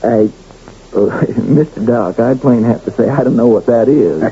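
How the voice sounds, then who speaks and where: An actor playing a humble, polite young cowhand with an American Western drawl and a hesitant, folksy manner, in a 1970s radio drama. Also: male voice, mid-range voice, moderate pace, dark tone, close to an everyday voice. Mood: rueful. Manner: folksy, hesitant, self-effacing. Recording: muffled, noisy 1970s radio recording.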